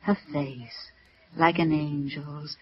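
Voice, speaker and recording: An actress playing a kindly, slightly flustered high school nun in 1950s radio drama, gentle, hesitant and wistful. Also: female voice, steady tone, takes it slowly. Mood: wistful, fond. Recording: muffled vintage radio recording.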